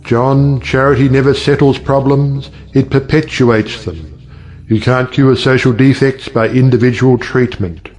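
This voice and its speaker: A witty, cynical middle-aged judge played by a male actor holds forth in a stage-play style. He is sardonic and rhetorical, lecturing his family in a medium-pitched voice, with music behind him. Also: a little slow, darkish tone, lightly acted. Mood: wry. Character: witty cynical older uncle, a judge who lectures on marriage. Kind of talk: stage play audiobook acting.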